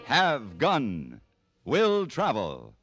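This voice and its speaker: A man's voice: mid-range voice, wildly swooping pitch, extremely slow, dull audio, over loud music. A man with an American accent reading scripted copy as a 1950s radio announcer.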